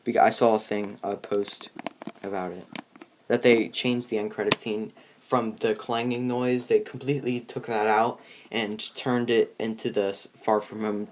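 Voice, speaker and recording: A man's voice: fairly low pitch, level tone, moderate pace, a little hiss or hum. Rambling man hosting a casual movie review. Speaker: host of a movie review channel.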